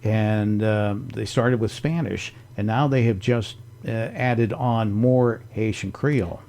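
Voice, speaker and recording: A man hosting a radio talk show, asking questions in a halting way with frequent fillers. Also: lowish voice, a little mellow. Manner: halting, many fillers and restarts. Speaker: radio host. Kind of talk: radio interview.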